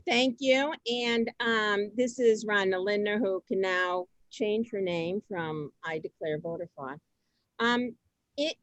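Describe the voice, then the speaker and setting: A woman moderates a group gathering in a fairly high voice. Her phrasing is hesitant and improvised as she steers things along. The recording is dry and free of room sound. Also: no echo, poor audio. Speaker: host of an online group event. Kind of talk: informal moderating.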